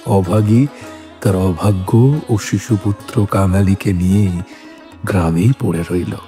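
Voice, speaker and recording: A man narrates a literary story in a fairly low, warm and dark-toned voice. His delivery is measured and scripted. Light music runs underneath. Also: fairly even intonation. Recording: music bed behind the voice.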